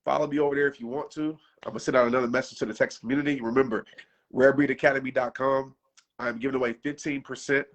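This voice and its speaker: A man in his thirties speaks off the cuff to a livestream audience, pushing them hard in casual slang. His pitch sits in an ordinary middle range, and his voice sounds worn from talking so long. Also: fairly even intonation, fast, fairly bright tone. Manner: passionate, preachy, casual slang. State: losing his voice. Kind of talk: unscripted livestream pep talk.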